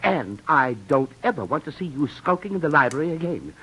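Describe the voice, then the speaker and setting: A man's voice: fairly high pitch, big pitch swings, a little fast, over loud music, poor audio. An actor playing a fussy, stern middle-aged male librarian in 1940s radio drama style, prim and prone to repeating words in flurries. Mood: huffy.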